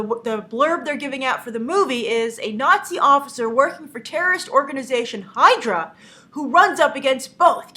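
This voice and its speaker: Young female movie news host, congested from a cold, tense bright tone, a little high, loose and chatty with frequent fillers. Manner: chatty, many "uh" fillers, opinionated. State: stuffy from a cold. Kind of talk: casual news commentary.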